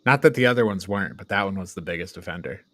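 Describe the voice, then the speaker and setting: A man's voice: low voice, racing, no echo. A man co-hosting a review show, chatting and reacting informally with a note of exasperated banter. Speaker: co-host of a film review show. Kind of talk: casual chat, reacting and commenting.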